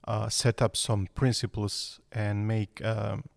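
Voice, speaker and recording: Brief: male guest in his fifties, slow and halting, gravelly low voice, dry close sound. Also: flat delivery, no room sound. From Serbia. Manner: hesitant, frequent fillers. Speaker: guest architect. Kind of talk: podcast interview.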